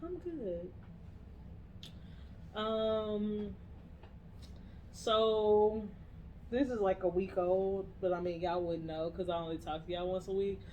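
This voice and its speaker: A young woman co-hosting a podcast in a relaxed, slangy way, often breaking into laughter. Her voice sits at a middle pitch, with a faint hum of room ambience behind her. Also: slow, pauses often, lo-fi sound. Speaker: podcast co-host. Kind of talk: casual chat.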